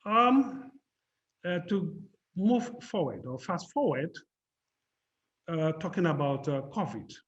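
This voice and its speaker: A man from Ghana with a Ghanaian accent, giving a measured, explanatory academic panel talk over a video call. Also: fairly high pitch, expressive, lo-fi sound. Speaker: panel speaker. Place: home in Ghana.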